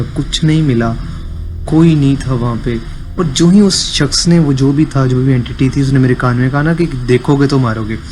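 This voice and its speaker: Pakistani man telling a story, mid-pitched. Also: a little mellow. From Punjab, Pakistan. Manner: halting phrases, switches into English. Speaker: listener sending an audio story. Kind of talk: casual first-person storytelling. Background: background music.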